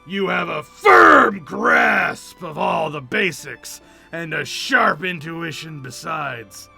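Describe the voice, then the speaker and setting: A man voicing a solemn, wise guild mentor, delivering game dialogue in a slow, emphatic, declamatory manner. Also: fairly high pitch, lively intonation, gravelly, bright, forceful tone, soft background music, exaggerated voice. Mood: solemn, booming. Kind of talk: game dialogue read aloud.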